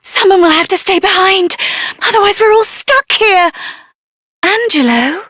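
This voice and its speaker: High voiced young fantasy game heroine, spirited n stubborn, earnest and expressive, really dry studio sound. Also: female voice, measured pace, no echo, exaggerated voice. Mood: urgent, alarmed. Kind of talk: video game character voice acting.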